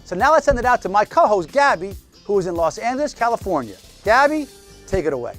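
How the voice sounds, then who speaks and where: Man, TV host, high voice, animated pitch, presenting and interviewing, light background music. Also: fast talker. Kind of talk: presenting and interviewing on a TV entertainment show.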